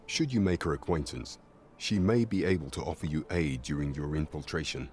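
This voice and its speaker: Grave royal counsellor, very deep voice, formal archaic diction, deferential, fantasy game, faint background noise. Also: male voice, brisk, a little mellow. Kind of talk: fantasy video game voice acting.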